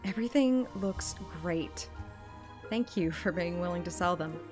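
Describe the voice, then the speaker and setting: A woman's voice: lowish voice, lively intonation, unhurried, music in the background. A woman livestreamer with a playful, chatty manner, talking casually and reading a game story aloud. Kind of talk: casual stream chat and expressive game read-aloud.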